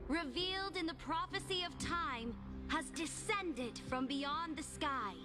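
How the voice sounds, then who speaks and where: A woman's voice: sits high, animated, a little slow, over music. A woman playing a commanding, cold, proud imperator in a video game, with formal, theatrical delivery. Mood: grand, proclaiming. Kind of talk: dramatic video game voice acting.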